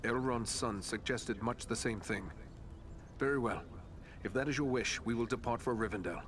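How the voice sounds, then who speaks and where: A man playing a steadfast, resolute ranger tracker in a fantasy video game, formal, earnest and deliberate. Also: mid-range voice, a bit bright, a little hiss or hum, close to an everyday voice.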